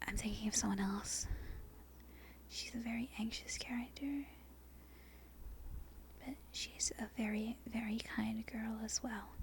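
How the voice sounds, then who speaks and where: A woman from Australia with a softened Australian accent, whispering an unscripted ASMR ramble in a halting, breathy way, often correcting herself. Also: on the high side, slight background noise. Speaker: amateur ASMR video creator. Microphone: non-binaural microphone.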